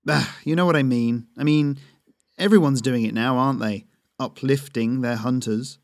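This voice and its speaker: A man playing a gruff, stubborn male hunter who talks in a grumbling way. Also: medium pitch, normal speed, no echo, studio quality, lightly acted.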